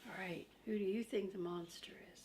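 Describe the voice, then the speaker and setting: An older woman reading a children's book aloud, chatting to young listeners in simple, gentle phrases. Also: medium pitch, steady tone, fairly quick, slight background noise. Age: older, a grandmother.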